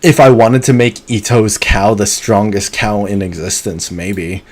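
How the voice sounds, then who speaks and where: A man streaming a video game live, chatting casually and muttering to himself between bursts of excitement. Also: on the low side, measured pace. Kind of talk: live gameplay commentary.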